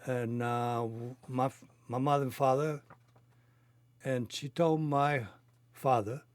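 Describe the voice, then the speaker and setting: A man in his eighties from Cuba, a native Spanish speaker with a Cuban accent, recounting his life in halting, non-native phrasing. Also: medium pitch, darkish tone, dry recording. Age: 80s. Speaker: interviewee telling his life story. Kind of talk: reminiscing conversation.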